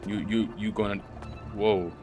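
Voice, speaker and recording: Low-voiced man doing casual game commentary. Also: flat delivery, a little slow. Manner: slangy, muttering asides at the game. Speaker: gamer reacting to a story game. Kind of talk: casual let's play commentary.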